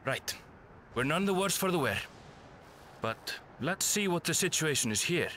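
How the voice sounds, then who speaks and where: A man's voice: a little high, expressive, moderate pace, sharp tone, slight background noise. An actor playing an earnest young man reporting danger to allies in a video game cutscene. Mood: steady.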